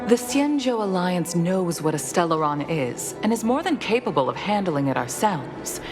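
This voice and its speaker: An actress voicing a stern, formal middle-aged female commander in a video game, speaking with measured firmness. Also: lowish voice, very animated. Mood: formal, firm. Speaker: guild leader in a sci-fi fantasy game. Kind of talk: scripted video game dialogue. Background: background music.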